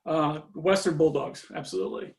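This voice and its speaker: Casual: norway-born guy, kinda high gravelly voice, laid back, lots of ums, trails off. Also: normal speed. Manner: relaxed, lots of fillers and trailing off. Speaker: interview guest. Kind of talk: casual conversation.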